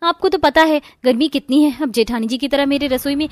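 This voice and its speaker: A woman's voice: high-pitched, a little fast, dry recording, close to an everyday voice. A woman voicing a sly, lazy, excuse-making middle daughter-in-law in a cartoon story. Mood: evasive.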